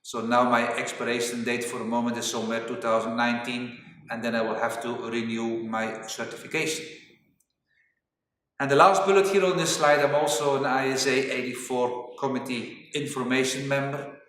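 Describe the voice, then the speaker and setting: A middle-aged man with a Flemish Belgian accent delivers a corporate training introduction at an ordinary male pitch. He explains deliberately, leaning on the openings of his phrases. The room rings with heavy echo, and he sounds set back from the microphone. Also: fairly even intonation, a bit bright. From Belgium (Flanders). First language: Flemish Dutch. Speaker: webinar presenter, safety engineering trainer. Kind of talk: corporate webinar presentation. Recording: very echoey reverberant room, distant mic.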